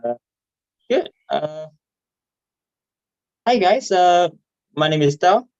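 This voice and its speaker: A man's voice: high-pitched, animated, darkish tone, clean tone, dry recording. Vietnamese guy doing standup, stutters and hesitates a lot, dull lo-fi online mic sound. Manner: hesitant, halting delivery with repeated words. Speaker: stand-up comedian. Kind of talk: stand-up comedy set. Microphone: video call microphone. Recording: dull, muffled online call audio.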